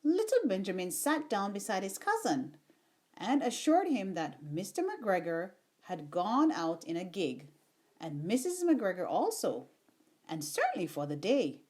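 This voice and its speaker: A woman reading a children's storybook aloud in an expressive, unhurried storytelling manner. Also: medium pitch. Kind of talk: read-aloud storybook narration.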